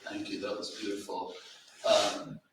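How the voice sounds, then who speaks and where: Guy talking books at an event, slow and halting, bright voice, really echoey. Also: on the low side, little pitch movement, vocal fry. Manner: halting, searching for words. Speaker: literary event presenter, editor. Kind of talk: informal literary commentary. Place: indoor library event room. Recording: very echoey, distant mic, faint noise.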